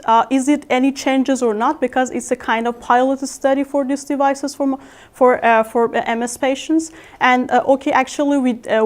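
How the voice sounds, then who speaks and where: A woman researcher with an Iranian accent and a fairly high voice gives an informal scientific presentation. She speaks haltingly, often hesitating and repeating words as she explains. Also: darkish tone. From Iran. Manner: halting, with frequent hesitations and repetitions. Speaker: postdoctoral researcher presenting posters.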